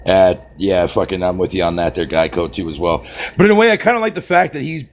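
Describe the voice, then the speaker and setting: A man's voice: deep voice, expressive, fast, slight background noise. A man with a Canadian accent chatting casually on a live stream, swearing a lot and laughing often. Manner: profane, rambling, laughs often.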